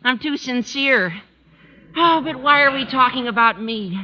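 A man's voice: very high-pitched, lively intonation, measured pace, pushed and bright, slight background noise, full character voice. A male actor playing an eager teenage schoolboy, earnest and gushing, in old-time radio comedy style. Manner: earnest, gushing, gee-whiz adolescent. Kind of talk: 1940s-50s radio comedy acting. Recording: muffled old radio recording.

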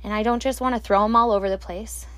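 A woman hosting a solo podcast alone at night, talking slowly and calmly into a microphone in a soft, soothing voice with quiet laughs. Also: mid-range voice, dry recording. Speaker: podcast host. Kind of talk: solo reflective podcast monologue. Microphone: handheld or desk microphone, alone at night.

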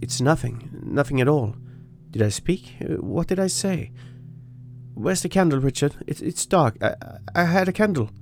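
A man reading a story, voicing a frightened young gentleman who stammers and loses his breath. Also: a little high, lively intonation, moderate pace, slight background noise, voice pushed up. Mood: panicked.

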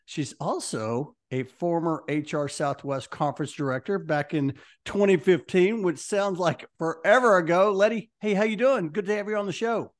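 A man's voice: sits high, normal speed, hardly any pauses. A man hosting a leadership podcast, chatting with a guest in an upbeat, enthusiastic way. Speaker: podcast host. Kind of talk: casual interview chat.

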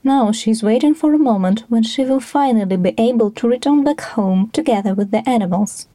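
A woman's voice: a little high, brisk, warm tone, dry recording. A woman reading scripted narration for an audio documentary.

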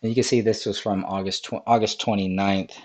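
Guy with a cold, raspy and a bit low, rambling live-stream commentary, lots of ums. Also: little pitch movement, brisk, darkish tone. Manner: rambling, many ums and restarts. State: hoarse from a cold. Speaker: podcast host. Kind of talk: live-stream commentary over video clips.

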